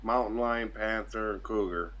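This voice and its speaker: A man's voice: lowish voice, moderate pace, fairly bright tone. A man in a casual voice-chat discussion who chimes in with short, emphatic remarks. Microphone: computer mic in a voice chat.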